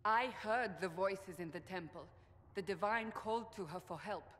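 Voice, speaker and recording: A woman's voice: medium pitch, normal speed, fairly bright tone, natural voice. An actress playing a stern, devout warrior woman in a fantasy game, speaking in a formal, commanding and solemn way. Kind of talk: dramatic fantasy game acting. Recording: long reverb of a large hall.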